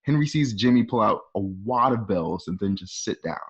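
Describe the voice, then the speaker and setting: Casting: male script narrator for stage directions, slightly low pitch, present tense, mildly muffled home audio. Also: lively intonation, normal speed. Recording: slightly dull home recording.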